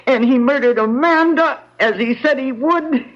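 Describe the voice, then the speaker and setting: A woman's voice: high voice, normal speed, lo-fi sound, close to an everyday voice. An actress playing an earnest, emotional young career woman in danger in an old-time radio drama. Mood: desperate pleading.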